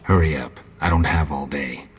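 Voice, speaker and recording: Very deep, deadpan monster hunter. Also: male voice, normal speed, husky, soft background music, exaggerated voice. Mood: deadpan, impatient. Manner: dry, deadpan, laconic. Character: grim, sardonic adult male mutant monster hunter. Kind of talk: video game voice acting.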